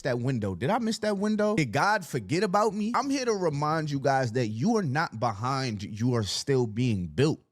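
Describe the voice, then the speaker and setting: A man's voice: mid-range voice, big pitch swings, moderate pace, hardly any pauses. A man on a podcast giving an earnest, reassuring motivational talk. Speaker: podcast host.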